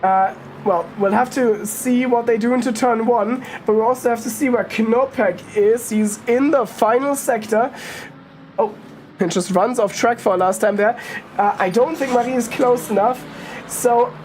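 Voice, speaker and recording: A woman's voice: fairly high pitch, moderate pace, a little mellow, slight background noise. A woman giving casual live commentary on a sim race, thinking aloud and correcting herself as she goes. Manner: informal, thinking aloud, hesitant self-correcting.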